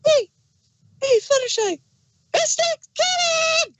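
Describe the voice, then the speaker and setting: A woman's voice: very high-pitched, animated, slow pace, fairly bright tone, a little hiss or hum, heavily acted. A woman voicing a hyper, chirpy, relentlessly cheerful young female pony in a fan audio drama, bubbly and pushy. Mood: bubbly, excited.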